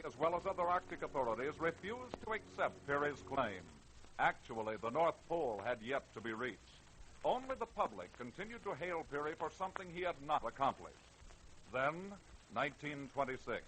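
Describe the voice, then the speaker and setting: A man's voice: on the high side, big pitch swings, a little fast, fairly bright tone, slight background noise, low-quality recording. An American man hosting and narrating an old radio show in an emphatic, theatrical scripted style. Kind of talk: 1930s-40s dramatic scripted narration.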